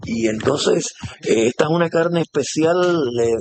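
A man's voice: a little high, unhurried, darkish tone, slight background noise. A man with a Puerto Rican accent interviewing on site, friendly and enthusiastic, with many hesitant fillers. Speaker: interviewer, reporter.

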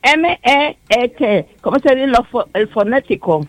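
A woman in her seventies, a retired teacher's assistant, chatting as a guest and spelling words out slowly with English mixed in. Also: a little high, lively intonation. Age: 70s. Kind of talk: casual on-air chat.

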